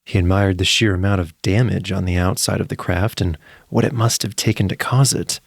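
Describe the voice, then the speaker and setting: A man narrating a scripted story in a measured, suspenseful storytelling manner. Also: low-pitched, fairly even intonation, vocal fry, no echo, clean studio sound.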